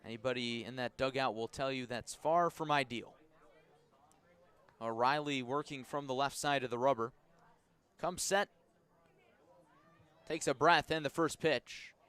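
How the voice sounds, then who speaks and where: A man doing live radio baseball play-by-play as the announcer, measured between pitches and lifting into excited calls on the action. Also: smooth. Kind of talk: live radio sports commentary.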